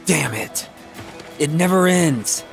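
Earnest young soldier, unhurried, over music. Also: male voice, fairly high pitch, lively intonation, vocal fry, full character voice. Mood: worried, weary. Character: earnest young male soldier on the run, weary but caring. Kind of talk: English video game dub acting.

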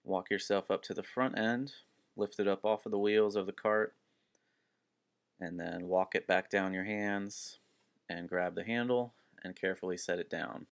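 A man's voice: sits low, normal speed, dry recording, clean studio sound. A man presenting a fishing channel, giving plain step-by-step instructions with frequent filler sounds. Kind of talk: instructional how-to narration. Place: outdoors on a home driveway.

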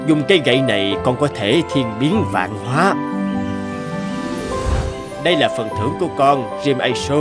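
A man voicing a calm, solemn elderly master of magic in an animated fairy tale, measured and authoritative. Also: mid-range voice, over loud music, close to an everyday voice. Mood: benevolent.

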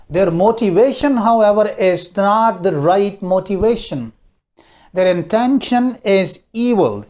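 A middle-aged man giving a sermon on a lapel mic, preaching in a slow, deliberate way with pauses. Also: high-pitched, a little mellow. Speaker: minister. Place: small indoor studio, red backdrop.

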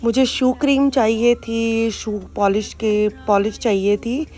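A woman's voice: on the high side, little pitch movement, takes it slowly, dark tone, faint music behind. A woman, chatting casually through a grocery run and explaining products and prices as she films on her phone. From Pakistan. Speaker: vlogger. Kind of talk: casual vlog narration. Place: supermarket, indoors.

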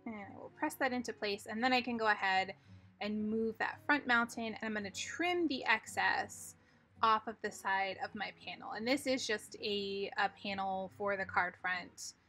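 A woman with a bright, clear voice talks through a card-making project step by step, calm and methodical. Soft music plays faintly under her voice-over. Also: medium pitch, moderate pace. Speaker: craft tutorial host.

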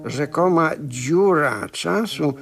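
An actor playing a pedantic, earnest expert in a 1970s radio play, speaking in a formal, carefully hesitant way. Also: male voice, a little high, lively intonation, takes it slowly, creaky, soft background music, heavily acted. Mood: grave, official. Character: pedantic, earnest male expert reporting strange events gravely.

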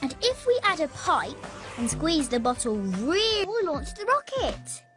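A clever little girl inventor in a cartoon, cheerful and practical. Her voice is very high and bouncy, the lines slow and clearly spelled out, with bright music under it. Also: very animated. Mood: eager. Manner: cheerful, explaining. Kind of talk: animated series voice acting.

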